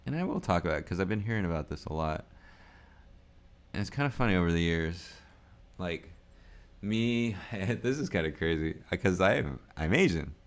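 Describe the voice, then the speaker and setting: A man's voice: low voice, lively intonation, moderate pace. A man in his forties, born in the United States, musing aloud in a rambling, unscripted vlog and laughing often. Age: 40s. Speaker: vlogger. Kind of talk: casual unscripted vlog talk.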